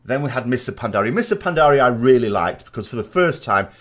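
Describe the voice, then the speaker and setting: A man's voice: mid-range voice, lively intonation, fast, a bit bright. A man hosting a gaming channel in a casual, conversational podcast style, rambling and digressive, with emphatic, opinionated delivery.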